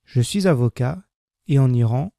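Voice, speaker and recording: A man reading a first-person French text slowly and clearly for learners, repeating each sentence. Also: mid-range voice, expressive, mellow, dry recording, clean studio sound. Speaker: French language teacher. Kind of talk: slow scripted reading for learners.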